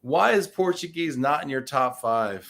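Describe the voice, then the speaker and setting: A man's voice: medium pitch, lively intonation, a little slow, gravelly, a bit bright. A man hosting a live-streamed interview, playful and teasing, reading out viewer comments with frequent restarts. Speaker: show host.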